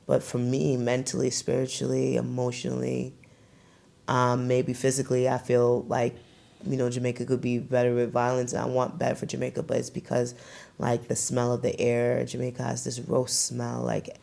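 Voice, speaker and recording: Brooklyn woman podcast host, kinda fry-voiced, chatty and loose with lots of fillers. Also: a bass voice, normal speed. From Brooklyn, New York. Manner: animated, loose storytelling with many fillers. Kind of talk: casual podcast chat.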